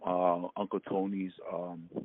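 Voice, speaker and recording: A man from Hawaii and American Samoa, recalling his past in a halting, casual phone interview full of fillers. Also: low-pitched, little pitch movement. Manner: halting, many fillers and restarts, laughs often. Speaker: interviewee, former ballplayer. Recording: tinny phone line with light noise.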